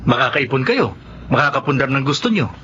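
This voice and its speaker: A muffled recording carries a Filipino office friend in a radio drama. He is persuasive and teasing, mid-pitched with lively swings in tone. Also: a little fast. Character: reasoning, teasing male office colleague and friend. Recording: dull lo-fi audio with faint noise.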